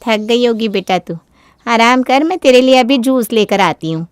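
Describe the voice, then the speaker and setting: Woman playing a clear-voiced, fretful scolding mother. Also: on the high side, normal speed, no echo, clean studio sound, close to an everyday voice. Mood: caring. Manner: fretful, admonishing. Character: worried, scolding middle-aged Indian mother of a grown daughter.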